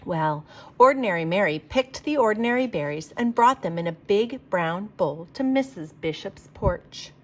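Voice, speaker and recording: A woman reading a children's picture book aloud like a teacher, with expressive, emphatic delivery for young listeners. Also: mid-range voice, moderate pace.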